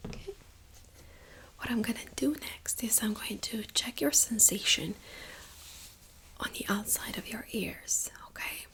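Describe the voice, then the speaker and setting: A woman speaking in a breathy whisper, gently and reassuringly, as a doctor in an ASMR medical exam role-play. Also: a little high, rough recording. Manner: gentle, reassuring, unhurried clinical explaining.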